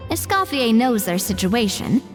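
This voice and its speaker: Casting: poised, good-natured young woman for a game, high register, gently persuasive, faint music and hall reverb. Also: sing-song, moderate pace. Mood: informative. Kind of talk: video game voice acting.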